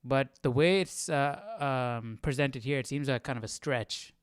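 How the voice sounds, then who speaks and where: Male livestream host, casual and tentative commentary, smooth clear voice, close dry sound. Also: mid-range voice, unhurried, no echo. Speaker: host of a Bible study livestream. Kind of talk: casual unscripted commentary to viewers.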